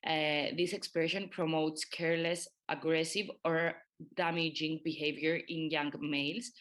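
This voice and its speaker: A woman's voice: sits low, little pitch movement, measured pace, fairly bright tone. A woman from Cyprus, giving a prepared explanation on a podcast. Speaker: podcast participant.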